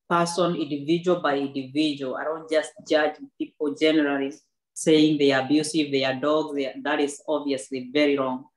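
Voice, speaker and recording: A woman's voice: sits low, reverberant, low-quality recording. A woman in her thirties, an author telling her personal story in an earnest, faith-filled and reflective way, often restarting her sentences. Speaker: author and guest. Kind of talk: personal testimony in a book club talk. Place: indoor room with a backdrop.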